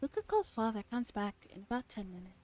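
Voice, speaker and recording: A young woman streamer with a soft, breathy voice, reading a visual novel aloud in ASMR style and chatting playfully in casual slang. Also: a little high, big pitch swings, fast. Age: young adult. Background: game music.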